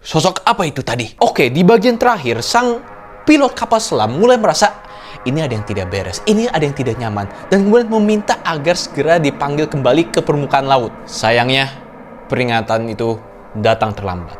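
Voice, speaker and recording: A man's voice: fairly high pitch, animated, fairly quick, soft background music. A man hosting a horror reaction video, giving dramatic, suspenseful commentary with rhetorical questions and exclamations. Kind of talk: dramatic storytelling commentary to viewers.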